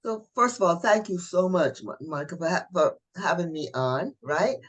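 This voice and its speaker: A middle-aged woman from Barbados, a coach telling her story as an interview guest in a lively, chatty way. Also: on the low side, moderate pace. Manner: lively, chatty, warm asides like "honey".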